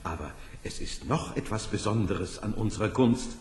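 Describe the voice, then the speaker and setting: An actor playing a smooth-talking swindler posing as a weaver in a fairy-tale radio play, boastful and persuasive. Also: male voice, fairly low pitch, lively intonation, normal speed, darkish tone, a little hiss or hum, close to an everyday voice. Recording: echoey hall-like reverb.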